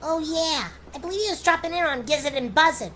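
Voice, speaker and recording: A man's voice: very high voice, fairly quick, sharp tone, slight background noise, voice pushed up. A man voicing an eager, helpful youngster with a chirpy, deferential manner in old radio serial style. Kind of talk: 1940s radio serial acting.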